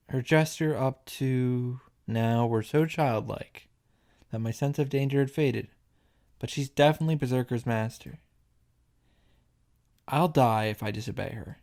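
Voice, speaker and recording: A man's voice: mid-range voice, darkish tone. A man reading a visual novel aloud and reacting casually, often restarting and repeating himself as he goes.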